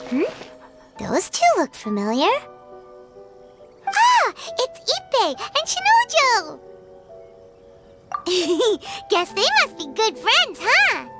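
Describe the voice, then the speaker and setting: A woman voicing a small, childlike girl companion in a video game, squeaky, bubbly and nosy. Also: wildly swooping pitch, slow, long pauses, full character voice. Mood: cheerful, curious. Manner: bubbly, refers to self in third person. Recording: loud music under the voice.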